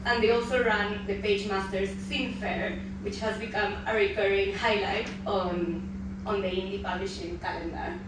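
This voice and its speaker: Nervous, halting woman panel moderator in her thirties; distant mic, big echoey room. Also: mid-range voice, fairly even intonation. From Peru. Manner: hesitant, many ums and restarts. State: a bit nervous. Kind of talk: live panel introduction. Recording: echoey room from far off with noise.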